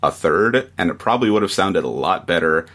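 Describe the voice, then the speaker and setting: A man giving a measured, analytical take as a guest in a casual podcast discussion. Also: low voice, expressive, a bit bright. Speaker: guest reviewer.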